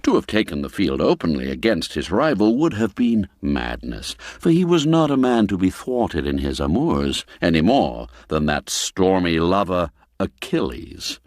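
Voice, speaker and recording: Deep-voiced, unhurried male storyteller. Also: dry recording, clean studio sound. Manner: theatrical, expressive delivery. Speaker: audiobook narrator. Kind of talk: dramatic literary storytelling.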